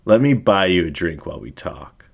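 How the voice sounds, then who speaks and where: A blunt, easygoing dingo from an audio story, played by a male reader. His voice is on the low side and lively. He talks casually, with direct, flirtatious teasing. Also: moderate pace, vocal fry, breathy edge, exaggerated voice.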